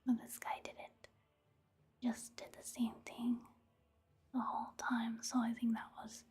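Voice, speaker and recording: A woman giving soft-spoken, whispered ASMR commentary over video game play, in a gentle, casual and unhurried manner. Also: a little high, breathy edge.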